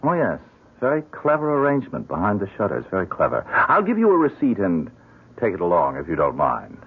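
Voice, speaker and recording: Sly, very deep raspy stranger. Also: male voice, huge pitch swings, full character voice. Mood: suave, pleased. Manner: polite, insinuating, unhurried. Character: smooth-talking, sly mysterious man posing as an agent. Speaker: actor in a radio mystery drama. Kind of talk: old-time radio drama acting. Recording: thin, narrow-band old radio broadcast.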